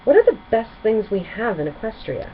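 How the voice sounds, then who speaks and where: Woman voicing a gentle, lively storybook pony princess. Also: on the high side, brisk, phone-quality sound, a little hiss or hum, voice pushed up. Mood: friendly. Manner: storybook dialogue, gentle and reassuring. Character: gentle, reassuring pony princess mentor, young adult female.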